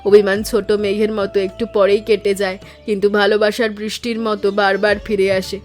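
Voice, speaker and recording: A woman playing a gentle, wise, doting village aunt in a cartoon story, with a tender, reflective way of talking. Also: mid-range voice, little pitch movement, fairly quick, natural voice. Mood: reassuring. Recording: music under the voice.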